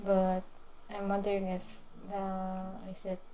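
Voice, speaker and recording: A woman game streamer giving casual live commentary in a low-key, musing way. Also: monotone, unhurried, mellow, slight background noise, rough recording. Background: faint game music.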